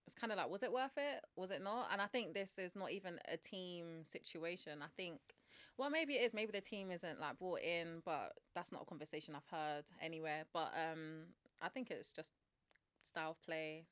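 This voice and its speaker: A young woman from the UK co-hosting a basketball chat show, talking in a relaxed, thoughtful way and often trailing off. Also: on the low side, normal speed, no room sound. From United Kingdom. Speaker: podcast co-host. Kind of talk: casual chat.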